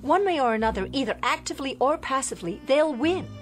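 Defiant, animated young daughter. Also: female voice, high voice, fast talker, soft background music. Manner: theatrical, biting, emphatic. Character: rebellious, bitter young daughter, desperate and defiant. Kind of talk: radio drama acting.

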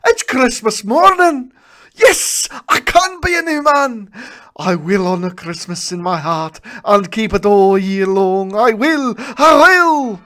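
A man's voice: a little high, very animated, slow pace, darkish tone. A man voicing an old miserly man who is frightened and begging in a storybook reading. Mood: elated.

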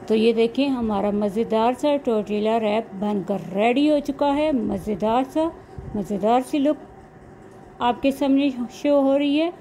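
Slow-paced woman, noisy recording. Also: fairly high pitch, warm tone. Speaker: cooking vlog host. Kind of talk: recipe voice-over narration. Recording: clear background noise, homemade recording.

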